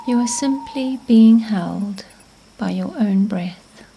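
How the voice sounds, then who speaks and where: A woman leads a guided meditation at an extremely slow pace. Her voice is soft and mellow, with breathy, near-whispered endings to her phrases. Faint ambient noise sits behind her gentle, scripted narration. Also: mid-range voice, poor audio.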